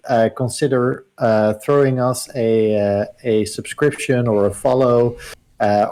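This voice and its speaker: A man's voice: medium pitch, darkish tone. A man co-hosting a gaming livestream, talking casually and rambling with lots of fillers. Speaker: co-host.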